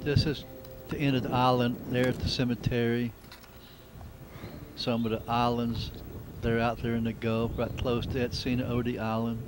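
A man narrating a casual travelogue in an unhurried, reflective, plain-spoken way. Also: medium pitch, little pitch movement. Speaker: amateur travel videographer. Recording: noisy camcorder sound with outdoor ambience.

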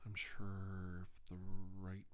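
A middle-aged man playing a shaken doctor giving a halting deposition about alien abduction in an ASMR role-play. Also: low voice, monotone. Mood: hesitant, uneasy. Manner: halting, hesitant, deliberate.

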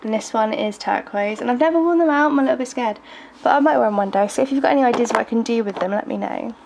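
A woman's voice: on the high side, fast, gravelly. Groggy, chatty young woman. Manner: chatty, hesitant, frequent ums and little laughs. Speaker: beauty vlogger. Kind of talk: casual makeup collection tour. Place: bedroom at home. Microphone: handheld camera filming from close. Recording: noisy home video recording.

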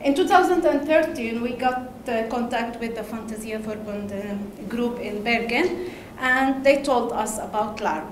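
A woman from Lebanon with an Arabic accent, giving a conference talk in halting English with hesitations and laughs. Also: on the high side, a little hiss or hum. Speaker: conference speaker. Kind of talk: presentation talk. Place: large darkened conference hall. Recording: echoey hall, faint ambience.

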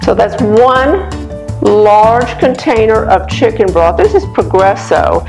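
An older woman with a slightly raspy voice hosts a casual cooking demonstration in her home kitchen, talking the viewer through each step. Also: fairly high pitch, expressive, slow, over music. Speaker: cooking show host.

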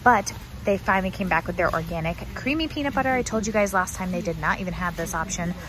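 A young American woman with a slightly low voice talks in a casual, chatty vlog style. She addresses viewers informally while walking through a big warehouse store, with clear background noise around her. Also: fast. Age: young adult. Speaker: vlogger. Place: large indoor warehouse store.

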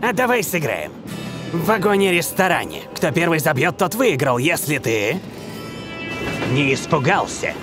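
Cocky young cartoon footballer villain, high voice, swoops around, taunting and bragging. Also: male voice, sing-song, unhurried, music in the background. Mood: goading. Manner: sneering, boastful, goading. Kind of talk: cartoon dub acting.

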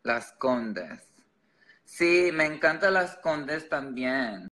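A man's voice: mid-range voice, big pitch swings, drawn-out words, bright, forceful tone. A man from Chicago with an American accent and English as his first language, chatting casually to livestream viewers in sassy, slangy style. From Chicago, United States. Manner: switches between English and Spanish, sassy, slangy. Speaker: livestream host.

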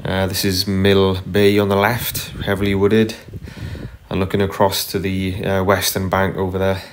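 A man narrating casually as he paddles a kayak on a river, unhurried, with frequent ums and uhs. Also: low-pitched, monotone, slight background noise. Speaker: kayaker vlogger. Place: kayak on a tidal river outdoors. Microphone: camera mounted on the kayak.

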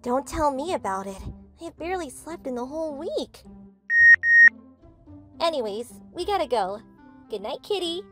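A woman voicing a sleepy, easily flustered teenage girl, expressive and dramatic, for a comic dub. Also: high-pitched, moderate pace, pauses often, over loud music. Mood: tired.

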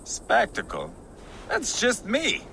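A man's voice: high-pitched, huge pitch swings, takes it slowly, creaky, bright, forceful tone, a little hiss or hum, heavily acted. A man playing a brash, theatrical Viking warrior in a video game, with a playful, mocking delivery. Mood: amused swagger. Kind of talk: video game dramatic acting.

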